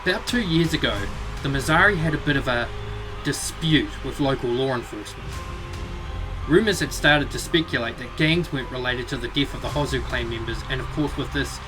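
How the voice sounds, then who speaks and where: A man's voice: medium pitch, close to an everyday voice, poor audio. A man playing a knowing, sardonic fighting-clan member in a visual novel, talking in a wry, conversational way.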